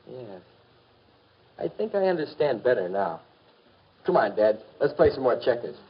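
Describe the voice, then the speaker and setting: A man's voice: medium pitch, big pitch swings, normal speed, breathy edge. An American man acting as an earnest, polite, mild-mannered teenage son in a 1950s educational film. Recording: dull, muffled 1950s film soundtrack.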